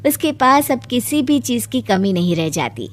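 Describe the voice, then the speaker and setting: A woman's voice: fairly high pitch, big pitch swings, moderate pace. A woman reading scripted storybook narration for a cartoon moral tale. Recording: music behind the voice.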